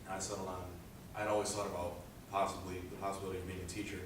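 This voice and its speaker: Low flat-voiced teenage boy, echoey. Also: rapid-fire. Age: teens. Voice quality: low speaking voice. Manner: earnest, rambling with many "uh" fillers. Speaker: high school student presenting a senior project. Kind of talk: presentation speech. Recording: echoey room, distant mic, background noise.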